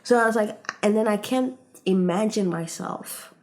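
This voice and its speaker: A woman's voice: mid-range voice, moderate pace, a little mellow. A woman from Africa, a podcast guest, talking in an earnest, reflective way with lots of "like" and "you know". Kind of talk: casual conversation.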